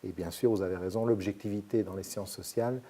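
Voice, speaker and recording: A man from Belgium, a sociologist explaining his research in measured, hesitant sentences. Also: lowish voice, fairly even intonation, dark tone. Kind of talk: academic interview answers.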